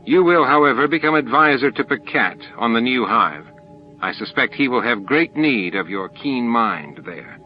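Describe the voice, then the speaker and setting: A man's voice: medium pitch, expressive, normal speed, muffled recording, faint music behind, lightly acted. A man voicing a self-assured alien hive grandmaster in a formal, authoritative, clipped manner. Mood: smoothly insincere.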